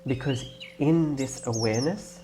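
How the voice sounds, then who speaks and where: A man leading a guided meditation in a gentle, instructing way, leaving long pauses between phrases. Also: mid-range voice, noisy background. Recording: clear birdsong and nature ambience behind. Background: birdsong, nature ambience.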